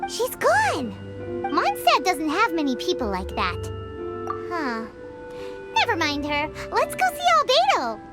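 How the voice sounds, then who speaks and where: A woman voicing a tiny, excitable, indignant childlike fairy companion in a video game, with a squeaky voice. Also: takes it slowly, over loud music, exaggerated voice. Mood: excited. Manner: speaks of herself in third person, exclamatory.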